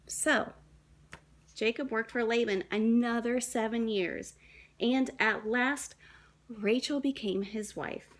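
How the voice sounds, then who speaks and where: A woman, a young adult, reading a children's story aloud in a dramatic, deliberate way with shifts between emphasis and hush. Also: on the high side, fairly even intonation. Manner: dramatic, deliberate phrasing with hushed and emphatic moments.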